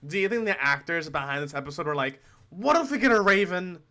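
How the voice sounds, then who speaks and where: A man's voice: high voice, expressive, fairly quick, bright, forceful tone, slight background noise. A young man giving casual reaction commentary as a streamer, joking, swearing and musing aloud.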